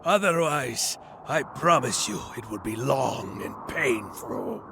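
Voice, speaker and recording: An actor playing a menacing male warlord who gloats over slow, cruel threats in a game cutscene. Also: mid-range voice, expressive, creaky, very noisy. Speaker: villain. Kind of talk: video game cutscene acting.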